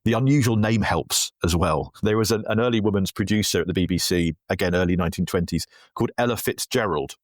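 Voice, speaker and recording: A man with a fairly low voice talks casually as an interview guest. He tells his stories in an animated way, slipping into imagined remarks, and the sound is close and dry. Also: quick pace, no echo. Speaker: writer and podcaster being interviewed.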